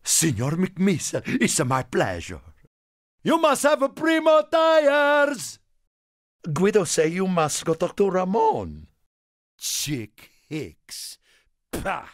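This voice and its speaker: A man voicing a small, excitable, friendly Italian car in a video game, theatrical and exclamatory, with an Italian accent and broken English. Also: high voice, slow, no echo, studio quality, heavily acted. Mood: offended. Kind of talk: animated video game character lines.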